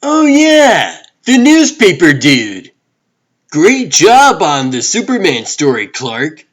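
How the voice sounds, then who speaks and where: A man's voice: on the high side, wildly swooping pitch, takes it slowly, a bit bright, heavily acted. A man playing a cheerful, breezy, chatty boyfriend in a comic book dub. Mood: breezy, upbeat.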